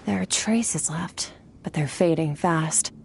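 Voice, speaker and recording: A woman playing an aloof, powerful warrior in a video game, cool, deadpan and dismissive. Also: deep voice, takes it slowly, gravelly, slight background noise, full character voice. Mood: solemn. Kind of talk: restrained video game dialogue. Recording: music behind the voice.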